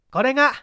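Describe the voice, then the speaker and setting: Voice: sits high, sharp tone, no echo, clean studio sound, pitched above the performer's own voice, full character voice. A reader playing a confident young male detective, wry and commanding, in an audiobook mystery.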